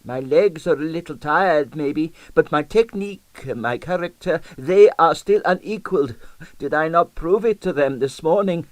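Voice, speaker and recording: A man voicing a vain, excitable old Russian ballet dancer in an audiobook, boastful and plaintive in accented broken English. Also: a little high, normal speed, dry recording, voice pushed up, natural voice. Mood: wounded pride.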